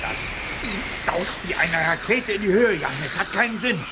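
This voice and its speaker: Casting: older military general, high-voiced, proud and exclamatory, radio play over heavy noise effects. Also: male voice, expressive, normal speed. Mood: panicked. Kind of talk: German audio drama acting.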